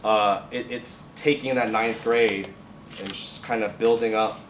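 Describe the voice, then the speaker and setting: A man's voice: lowish voice, steady tone, measured pace. A man speaking informally as a teacher presenting to a group, often restarting and correcting his phrases. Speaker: high school biotech and science teacher, guest speaker. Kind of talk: informal presentation with question and answer. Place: classroom or lab at a college. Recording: echoey room, distant mic, faint noise.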